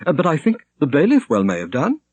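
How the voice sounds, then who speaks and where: A man voicing a shrewd, kindly older monk in an audiobook, measured, thoughtful and gently wry. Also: fairly high pitch, wildly swooping pitch, no room sound, voice pushed up. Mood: shrewd, pondering. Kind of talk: audiobook character reading.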